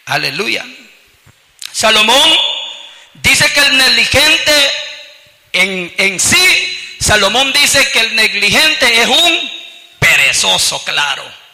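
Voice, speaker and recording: A man's voice: very high-pitched, big pitch swings, slow, pauses often, pushed and bright, long reverb tail, rough recording. A man with a Salvadoran accent preaching an evangelical sermon, emphatic and full of "gloria al Señor" and "aleluya" interjections. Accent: Salvadoran Spanish.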